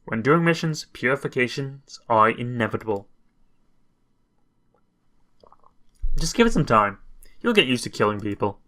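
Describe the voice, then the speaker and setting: A man's voice: medium pitch, very animated, brisk, bright, forceful tone. A man voicing a composed, dutiful male guardian warrior from a visual novel, in a matter-of-fact, reassuring way.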